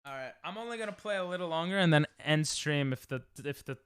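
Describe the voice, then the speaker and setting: A young man with a New York accent, streaming a game and chatting casually with friends, joking and getting excitable, often trailing off mid-sentence. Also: fairly high pitch, fast, clean tone, dry recording. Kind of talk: casual gaming stream chat.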